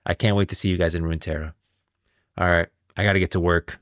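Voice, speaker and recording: Casting: male livestream host, low register, casual and gushing with enthusiasm, dry studio-style sound. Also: steady tone, fast, dry recording. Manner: effusive, enthusiastic. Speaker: streamer and host.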